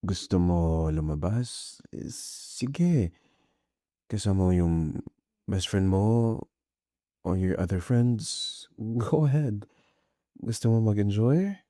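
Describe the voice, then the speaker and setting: A man playing a jealous young Filipino boyfriend in an intimate ASMR roleplay. His voice is low and mellow, and he talks very slowly, soft and hesitant, with a clingy, playfully insecure tenderness. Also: dry recording, clean studio sound. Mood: sulky, jealous. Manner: soft, hesitant, Taglish code-switching.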